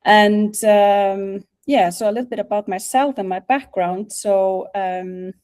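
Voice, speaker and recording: Mellow middle-aged Icelandic woman, hesitant talker. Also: mid-range voice, fairly even intonation, unhurried, clear, resonant tone. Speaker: guest speaker, national music office director. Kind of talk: informal online presentation. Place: video call.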